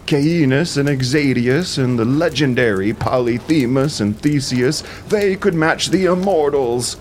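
A man's voice: a little high, slight background noise, pitched above the performer's own voice. A man playing a wise, weary old Greek king in an audio drama, giving grave, admonishing counsel. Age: older. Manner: slow, grave, persuasive counsel. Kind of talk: audio drama epic acting.

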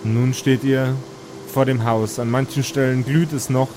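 A man narrating as game master, telling an improvised fantasy story in a measured, halting, atmospheric way. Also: mid-range voice, level tone, noisy background.

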